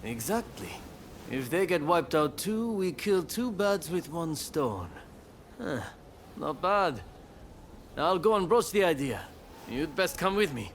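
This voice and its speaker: Animated, authoritative samurai leader. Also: male voice, fairly high pitch, slow pace, a little hiss or hum, exaggerated voice. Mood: calculating. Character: shrewd, assured samurai militia leader. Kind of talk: video game cutscene acting.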